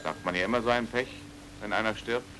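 An actor playing a stubborn, combative pulp novelist in a German radio crime drama, speaking in a terse, dry, direct way. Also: male voice, on the low side, a little fast, sharp tone, a little hiss or hum, poor audio. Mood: dry, blunt.

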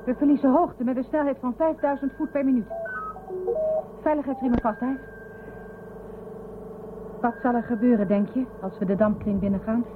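A woman's voice: a little high, steady tone, moderate pace, pauses often, noisy background, lightly acted. An actress in a radio drama playing a cautious, dutiful young woman on a space shuttle crew, earnest and questioning. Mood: alert. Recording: muffled lo-fi radio drama, music underneath.

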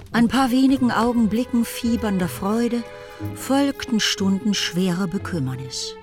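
A woman narrating a literary radio drama in a scripted storytelling style. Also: mid-range voice. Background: music.

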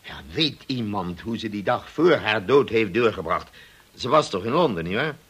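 An actor playing a formal, authoritative police colonel in a radio play, questioning in a measured, stern way. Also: male voice, fairly low pitch, animated, fairly bright tone, slightly rough. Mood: formal, probing. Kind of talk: Dutch radio drama acting.

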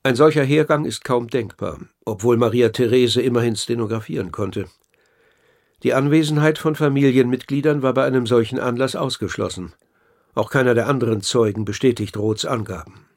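A man's voice: medium pitch, brisk, darkish tone. Formal, probing male radio narrator. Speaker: narrator and interviewer. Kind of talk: scripted radio documentary narration.

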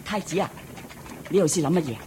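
A man playing a carefree, servile royal charioteer in a Cantonese audio drama, excitable and chirpy. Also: a little high, fast, a little hiss or hum, natural voice. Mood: soothing, cheery.

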